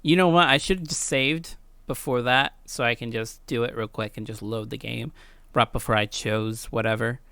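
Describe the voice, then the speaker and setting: A woman's voice: a bass voice, steady tone, normal speed, fairly bright tone, no room sound. A woman doing a casual, slangy Let's Play, chatting and reading visual novel text aloud in a playful, informal way.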